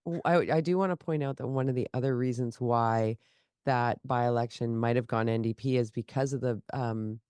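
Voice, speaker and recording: Low-pitched woman, has a cold, sniffly, animated chatty co-host, dry close sound. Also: normal speed, clean tone, no room sound. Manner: animated, jumps between topics, quoted asides. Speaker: podcast co-host. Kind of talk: casual political chat.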